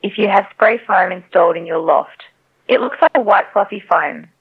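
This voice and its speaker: A woman's synthetic telemarketing voice on a narrow phone line, politely and persistently reading a scripted sales pitch. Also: moderate pace, creaky, husky. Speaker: AI robocall telemarketer.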